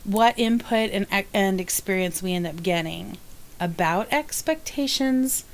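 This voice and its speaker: A woman talks in a relaxed group chat at a middling pitch. She is thoughtful, speeding up and slowing down as she works through her ideas, with the odd filler along the way. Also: rough recording. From United States. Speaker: podcast co-host who teaches spinning.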